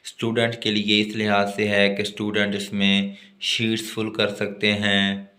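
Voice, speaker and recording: A man with a Pakistani accent lectures on law in a lowish, very bright and forceful voice. He explains in a didactic way, moving between his own explanations and formal text read out for students. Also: monotone. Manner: didactic, switching between Urdu explanation and slow English reading. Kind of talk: exam lesson, explaining and reading out legal text.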